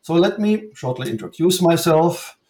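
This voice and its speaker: A man from Germany with a German accent, a professor answering interview questions in a formal, measured way. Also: a little high, expressive, a little mellow. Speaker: guest author, professor. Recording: roomy echo.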